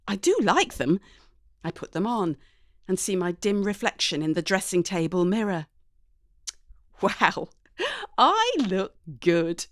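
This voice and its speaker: A woman's voice: medium pitch, dry recording, clean studio sound. A woman reading a dramatic first-person story expressively and conversationally, in character as a teenage girl. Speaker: audiobook narrator.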